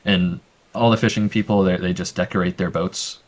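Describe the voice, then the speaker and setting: A man's voice: low voice, steady tone, normal speed. A man working as game master, explaining the rules in a casual way with many fillers. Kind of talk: narrating a tabletop game.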